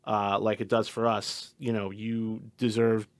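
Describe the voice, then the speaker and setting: A man's voice: lowish voice, level tone, measured pace, no room sound. A man in a band, answering as a guest in an earnest, rambling way with many repeated words. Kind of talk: casual interview answer.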